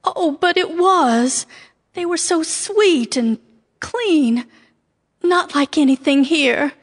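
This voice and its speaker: An actress playing a frightened farm wife who pleads in a trembling, tearful voice in a radio drama. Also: female voice, high-pitched, takes it slowly. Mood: desperate, tearful. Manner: pleading, trembling, breaks into sobs. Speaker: farmer's wife.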